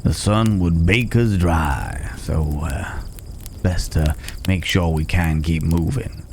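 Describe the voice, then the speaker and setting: A man playing a calm, protective cowboy stranger in an ASMR roleplay, talking in an unhurried, folksy way with hesitant pauses and gentle teasing. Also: low voice, slight background noise. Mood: calm, reassuring. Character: gruff but caring male cowboy stranger, calm and protective. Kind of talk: immersive ASMR roleplay acting. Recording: outdoor night ambience with chirping crickets.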